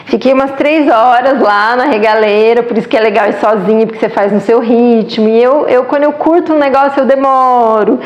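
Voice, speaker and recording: A woman's voice: a little high, steady tone, a little fast, hardly any pauses, reverberant, muffled recording. A Brazilian woman with a Brazilian Portuguese accent, chatting casually and with animation as she tells a travel story, laughing often. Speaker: travel vlogger.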